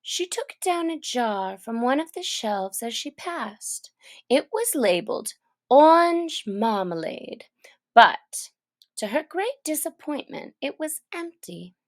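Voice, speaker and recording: A woman reading a children's story aloud in a gentle, soft manner that often drops to near a whisper. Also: sits high, sing-song, slow, no echo.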